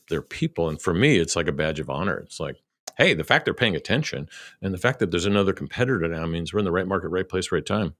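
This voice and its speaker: A man's voice: low voice, fairly bright tone, dry recording. A middle-aged man hosting a podcast, chatting casually about business in a rambling, colloquial way. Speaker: podcast host.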